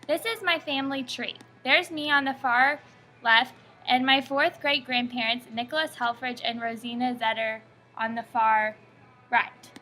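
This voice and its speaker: A young woman reading her school family-history presentation in a steady, scripted recitation. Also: sits high. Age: young adult. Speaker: student presenter.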